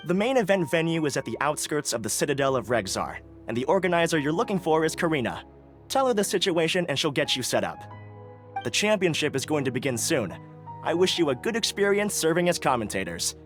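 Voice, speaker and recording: Polite young organizer, light lively delivery, soft music under. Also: male voice, on the high side, brisk. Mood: explanatory. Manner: courteous, formal, explanatory. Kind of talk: video game voice acting. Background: soft game music.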